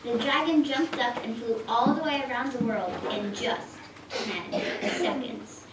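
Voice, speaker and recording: High-voiced woman narrator, far from the mic. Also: unhurried. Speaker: puppet show narrator. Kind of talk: storybook narration on stage. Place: live stage in a roomy hall, far mic. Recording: distant, echoey, noisy live recording.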